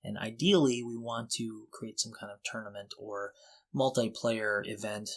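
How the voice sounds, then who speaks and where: A man co-hosting a podcast, talking casually and explaining things in an informal, halting way. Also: on the low side. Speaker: podcast co-host.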